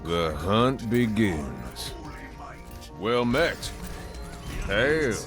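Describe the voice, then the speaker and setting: An actor voicing a gruff, cocky gunslinger monster hunter in a game, with a laconic drawl. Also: male voice, on the low side, sing-song, drawn-out words, exaggerated voice. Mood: cool, taunting. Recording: music behind the lines.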